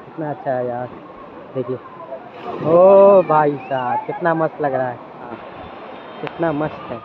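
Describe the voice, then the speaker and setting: Young man, excited vlogger, outdoors, phone mic, noisy background. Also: on the high side, unhurried, narrow, tinny audio. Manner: excited, chatty tour-guide talk. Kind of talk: casual travel vlog. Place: outdoor water park. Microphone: phone held by hand. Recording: phone recording with clear background noise.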